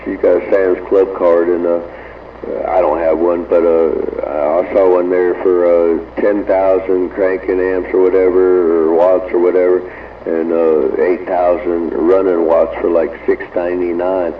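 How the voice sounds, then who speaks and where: A middle-aged Southern American man with a deep voice talks casually over CB radio. He has a folksy way about him. He sounds distant and narrow-band, with faint static behind. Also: flat delivery, unhurried. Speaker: amateur radio operator. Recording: tinny, distant, noisy CB radio reception.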